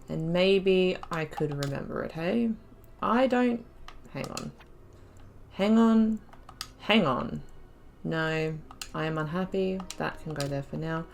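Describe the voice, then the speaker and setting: A woman giving casual commentary while playing a game, thinking aloud and breaking into excited exclamations. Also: lowish voice, gravelly, slight background noise. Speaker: let's play host.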